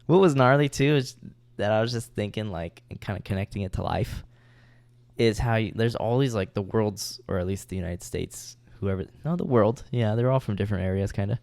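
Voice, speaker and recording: A man with a fairly low voice chats casually as a podcast co-host, relaxed and loose, peppering his talk with swearing and fillers. The recording is dry and close, with little room sound. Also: a little fast, creaky, no room sound. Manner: laid-back, swears freely, trails off with "like". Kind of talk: casual chat.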